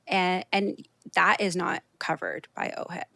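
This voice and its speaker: A woman's voice: lowish voice, steady tone, a little slow, gravelly, bright, forceful tone. A Canadian woman in her thirties, a podcast guest talking openly and earnestly, with lots of "you know" fillers. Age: 30s. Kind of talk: candid conversational interview.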